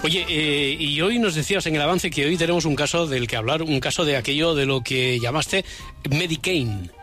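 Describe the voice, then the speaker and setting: A man from Spain hosting a radio show, brisk and bantering. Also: on the high side, bright, forceful tone, soft background music. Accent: Spanish (Spain). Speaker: radio host. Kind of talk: casual radio chat.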